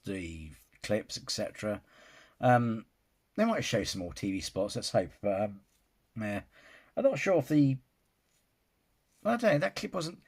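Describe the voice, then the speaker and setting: Guy, husky voice, rambling film-reaction vlogger, casual. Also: mid-range voice, darkish tone. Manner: rambling, restarts sentences, often says "you know". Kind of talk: casual opinion vlog.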